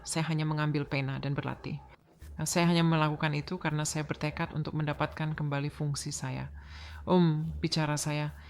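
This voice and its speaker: A woman sharing her own story of recovering from a stroke, talking haltingly with many pauses and fillers. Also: deep voice, a little hiss or hum. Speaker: stroke survivor. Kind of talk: personal testimony.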